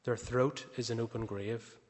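Brief: man reading scripture slowly, distant in a long church reverb. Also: medium pitch, steady tone, darkish tone. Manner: slow, measured reading. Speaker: scripture reader. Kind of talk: Bible reading in a service.

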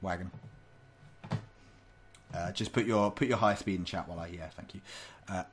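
A man's voice: low-pitched, moderate pace, a little hiss or hum. A man running a tabletop game over a voice call, loose and stumbling, swearing casually as he narrates and calls rolls. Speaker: game master.

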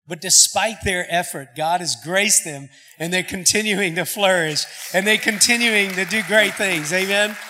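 Bright-toned earnest man introducing a guest pastor. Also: high voice, normal speed. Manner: earnest, with restarts. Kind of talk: sermon introduction.

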